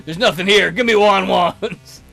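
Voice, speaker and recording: A man's voice: high-pitched, a little slow, creaky, fairly bright tone, faint music behind, pitched below the performer's own voice, full character voice. A man voicing a crude, lecherous guard dog with a coarse, sneering, loutish delivery for a visual novel. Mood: lewd, whining. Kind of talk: visual novel character voice-over.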